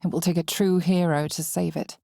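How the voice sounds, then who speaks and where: A woman playing an ominous, knowing narrator in a fantasy video game, with measured, theatrical, deliberate phrasing. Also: on the low side, level tone, creaky, dark tone, no echo, studio quality. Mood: ominous, solemn. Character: female game narrator, ominous, knowing, darkly solemn storyteller. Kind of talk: dramatic second-person fantasy narration.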